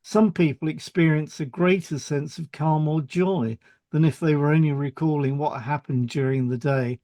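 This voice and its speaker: A man's voice: a little high, fairly even intonation, normal speed, dark tone, no echo. A man reading a scripted explanatory podcast as co-host.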